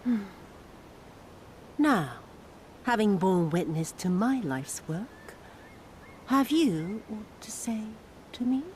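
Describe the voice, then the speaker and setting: An actress playing an embittered, cruel female spy in a video game, with theatrical, deliberate delivery and archaic formal diction. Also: medium pitch, expressive, a little mellow, slight background noise. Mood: coolly menacing. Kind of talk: dramatic video game villain monologue. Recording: dramatic music behind the voice.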